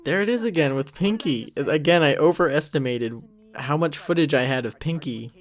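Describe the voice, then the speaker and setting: A man's voice: a little high, normal speed. A young man giving a casual commentary track in a rambling, self-critical way, often trailing off mid-thought. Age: young adult. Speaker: creator of an animated parody series.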